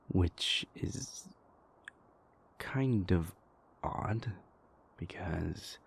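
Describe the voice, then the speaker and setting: A man playing a stressed young vampire prince confiding in his lover in an intimate, halting audio roleplay monologue, with a husky, gravelly voice. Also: low-pitched, heavily acted. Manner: halting, hesitant, exasperated, conversational.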